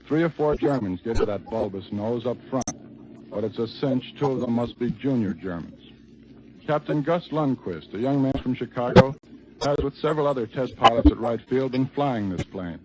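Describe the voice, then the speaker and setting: An American man giving a scripted 1940s radio war report in a wry, dryly humorous manner. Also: mid-range voice. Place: on an airfield flight line. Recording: noisy, muffled 1940s radio broadcast.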